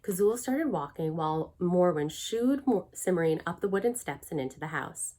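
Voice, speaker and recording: A middle-aged woman narrating a children's book aloud in an expressive, clearly phrased storytelling manner. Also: fairly low pitch. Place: small furnished room at home.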